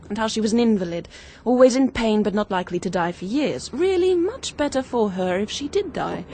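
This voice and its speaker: An actress playing a confident young amateur sleuth in a radio drama, brisk, knowing and bossy. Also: female voice, fairly high pitch, darkish tone, slight background noise. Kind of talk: 1940s-style British radio drama acting.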